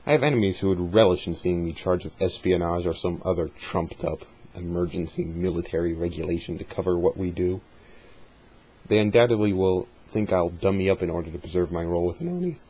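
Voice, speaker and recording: A man with a deep, mellow voice performs a dramatic reading of written journal entries. His delivery is deliberate and measured, and his tone has a husky, unpolished grain. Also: rough recording. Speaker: amateur reader hosting a dramatic reading series.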